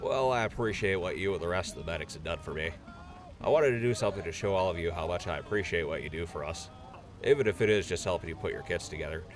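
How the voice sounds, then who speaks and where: A man's voice: low voice, quick pace, natural voice. A man playing a nervous, earnest young infantry soldier in an audio drama, shy and hesitant.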